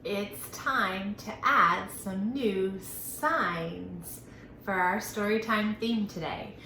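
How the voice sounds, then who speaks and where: Bright-voiced woman teaching children at storytime. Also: medium pitch, very slow. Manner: animated, sing-song, instructional, addressing young children. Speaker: children's library storytime host. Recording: roomy echo, faint background noise.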